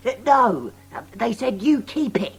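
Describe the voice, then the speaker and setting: An actress playing a dim young male rat in an audio drama, with a husky voice and halting, uneducated speech. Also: on the high side, very animated, exaggerated voice. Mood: hesitant. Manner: halting, slow-witted, uneducated.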